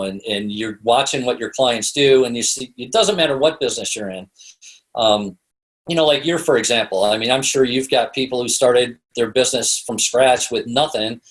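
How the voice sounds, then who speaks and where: A North American man talks as a guest expert in a casual interview. His pitch sits in an ordinary male range, and he explains in a loose, conversational way. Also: low-quality recording. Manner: conversational, explains through hypothetical examples and quoted lines. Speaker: interview guest, author and storytelling consultant.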